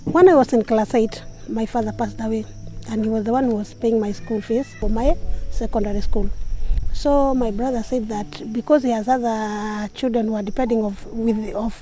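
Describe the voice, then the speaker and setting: A young woman, telling her own story in a fairly high voice. She talks haltingly and often restarts mid-sentence. Clear music plays behind her. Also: fairly even intonation, dark tone, rough recording. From Kenya. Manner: halting, with restarts and self-corrections. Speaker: interviewee. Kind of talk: personal testimony. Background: background music.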